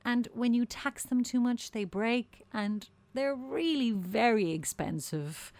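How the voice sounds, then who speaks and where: An actress playing a prim, officious radio producer in a darkly comic audio drama, measured and pointed. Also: female voice, a little high, expressive, darkish tone. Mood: polite, chiding.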